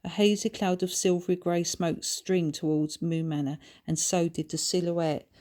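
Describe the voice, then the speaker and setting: Female author-narrator for a children's book, slightly low voice, measured scripted storytelling. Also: flat delivery, mellow.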